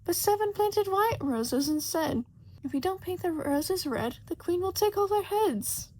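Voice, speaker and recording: A woman's voice: very high-pitched, expressive, unhurried, a little mellow, no room sound, voice pushed up, low-quality recording. A woman voicing a theatrical, anxious male playing-card gardener who recites in a showy sing-song for a storybook. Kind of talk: fan fiction audiobook character voice.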